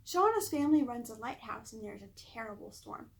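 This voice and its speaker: A woman's voice: a little high, lively intonation, brisk, creaky. A woman voicing a playful, teasing creative older sister in a storybook. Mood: coy, teasing. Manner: coy, teasing.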